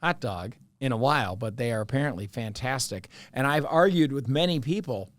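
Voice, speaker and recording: A man's voice: mid-range voice, expressive, a little fast, dry recording. A middle-aged man with a Chicago accent, hosting a casual comedy podcast in a rambling, jokey way. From Evanston, Illinois, US. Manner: rambling, jokey, full of fillers. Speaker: podcast host.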